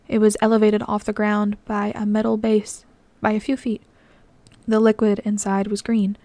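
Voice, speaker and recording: A woman's voice: medium pitch, warm tone, dry recording. A woman who reads fan fiction as narration and chats casually to listeners as the host. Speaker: podfic reader and channel host.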